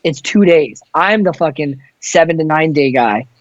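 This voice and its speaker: A man's voice: fairly high pitch, expressive, normal speed, dry recording, lo-fi sound. A man who sings in a touring band, chatting casually in a loose, rambling way with plenty of swearing. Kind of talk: casual chat.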